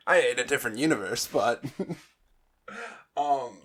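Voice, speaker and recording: A man's voice: medium pitch, a bit bright, breathy edge. A man co-hosting a casual book discussion, often rephrasing himself mid-sentence. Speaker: podcast co-host.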